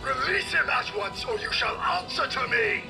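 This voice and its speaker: Gravelly, high-strained gallant knight. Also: male voice, expressive, moderate pace, heavily acted. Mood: stern challenge. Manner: formal, commanding, urgent. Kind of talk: video game cutscene acting. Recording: music under the voice.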